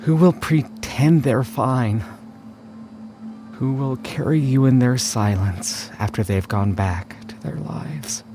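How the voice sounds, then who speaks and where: A man voicing a gentle, sorrowful mortician in a story, murmuring to the dead in a hushed, tender, wistful manner. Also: mid-range voice, slow pace, noisy background, voice pushed up. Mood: sorrowful wondering.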